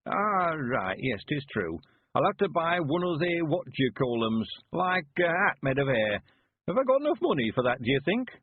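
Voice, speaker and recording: A man's voice: big pitch swings, slight background noise. Man voicing a frail old-timer. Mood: eager. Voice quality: high quavering old voice, slow drawl. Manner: chuckling, rustic, stubborn. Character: frail cheerful 91-year-old man, courting. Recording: phone-like lo-fi sound.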